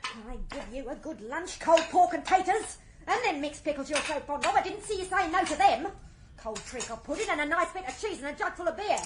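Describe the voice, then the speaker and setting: An actress playing a nagging, scornful English shopkeeper's wife, scolding in exasperation in a radio drama. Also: female voice, high voice, animated, brisk, background noise. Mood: exasperated scolding.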